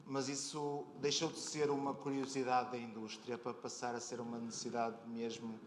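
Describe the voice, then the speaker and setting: A man with a European Portuguese accent interviews casually as host on a live stage. His delivery is conversational and a little searching, at a medium pitch. The sound is echoey, with clear noise from the venue around him. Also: flat delivery, normal speed. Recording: roomy echo, clear convention hall noise.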